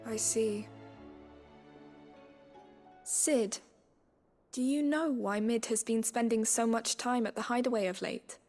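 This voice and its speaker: A woman's voice: a little high, takes it slowly, long pauses, darkish tone, soft background music. A woman playing an earnest, courteous schoolteacher in a video game, speaking formally and precisely. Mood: grave, earnest. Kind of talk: video game dialogue acting.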